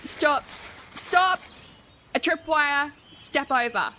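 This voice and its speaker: A woman's voice: high voice, animated, very slow, pushed and bright, slight background noise, heavily acted. A woman playing an exasperated, sarcastic fantasy rogue who scolds her companions in a comic audio drama. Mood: focused, commanding.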